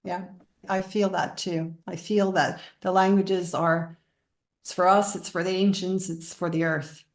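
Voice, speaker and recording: A woman's voice: on the low side, level tone, a little slow, echoey room. A woman from the Bahamas speaking as a guest in a spiritual interview, explaining her practice.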